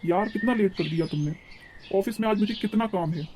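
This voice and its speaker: A woman's voice: medium pitch, brisk, background noise, lightly acted. A woman playing an exasperated, hot-tempered young city husband in a cartoon, scolding and impatient. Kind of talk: cartoon story dialogue.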